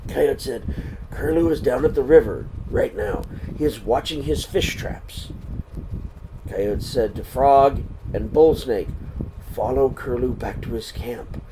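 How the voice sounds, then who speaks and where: A man reading a children's story aloud in a deliberate, expressive way, pausing between phrases. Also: mid-range voice, background noise.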